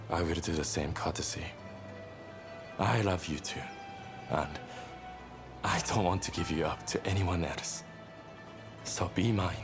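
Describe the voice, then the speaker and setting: A young samurai rebel in a video game romance scene. His voice sits low and he speaks slowly, with a teasing, cocky, smooth-talking charm. Music plays behind him. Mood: tender, possessive. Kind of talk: video game cutscene voice acting.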